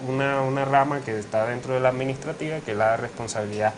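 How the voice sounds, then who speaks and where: A young law professor with a Colombian Caribbean coast accent speaks in a measured, explanatory way and often fills his pauses. His voice sits at a middle pitch. Faint ambient noise hangs behind him. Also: fairly even intonation. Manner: measured, with frequent hesitations and fillers. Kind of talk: academic interview explanation.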